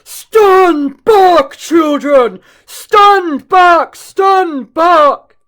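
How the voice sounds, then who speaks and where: A woman's voice: extremely high pitch, lively intonation, drawn-out words, voice pushed up, exaggerated voice. A woman doing a bellowing heroic elephant. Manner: loud bellowing shouts. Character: big heroic male elephant, stern and booming. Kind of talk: storybook read-aloud voice.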